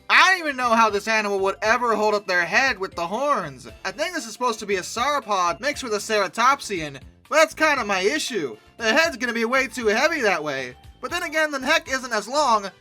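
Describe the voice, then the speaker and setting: A woman's voice: fairly high pitch, brisk, soft background music. A young woman hosting a comedic commentary, sarcastic and exasperated. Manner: sarcastic, exasperated, lively outbursts. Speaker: YouTube host.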